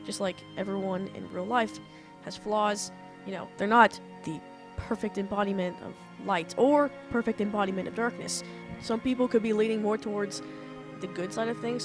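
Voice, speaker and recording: A young woman talks through writing advice with a mid-range voice, earnest and methodical. Her voice sounds a little off after a recent illness. Faint music plays underneath. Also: mid-range voice. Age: young adult. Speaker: author and writing teacher. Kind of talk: casual explainer lecture.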